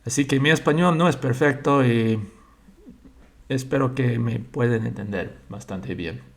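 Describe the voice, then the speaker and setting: Slow, halting man speaking a learned language. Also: mid-range voice, creaky. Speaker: church member sharing religious thoughts. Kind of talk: slow personal religious monologue.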